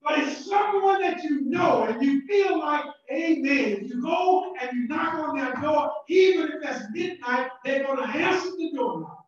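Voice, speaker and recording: Southern pastor preaching slow and loud, high voice, far off mic in a big echoey church, kinda muffled. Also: male voice, expressive. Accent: Southern American. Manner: preaching with rising shouted emphasis and repetitions. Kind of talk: sermon. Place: church sanctuary.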